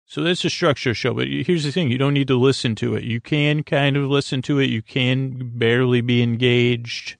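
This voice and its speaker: Creaky guy doing a sleepy bedtime podcast, medium pitch, rambles and stumbles off on tangents, soothing. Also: fairly even intonation, normal speed, hardly any pauses, dry recording. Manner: soothing, hesitant, easily distracted, stammering into tangents. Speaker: podcast host. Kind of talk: rambling, meandering bedtime monologue to help listeners sleep.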